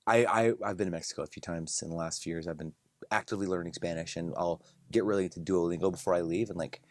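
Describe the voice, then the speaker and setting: A fairly low-voiced man hosts a casual podcast chat and wanders through his thoughts with many restarts. The sound is dry and close, with no room around it. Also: fast talker, dry recording. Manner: relaxed, rambling with frequent restarts. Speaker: podcast host. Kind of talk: casual conversation.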